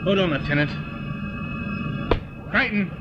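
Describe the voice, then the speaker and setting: Man playing brisk colonel, high animated voice. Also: muffled recording, music in the background. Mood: harried. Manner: clipped, authoritative. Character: brisk, exasperated male military colonel in command. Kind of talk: audio drama acting.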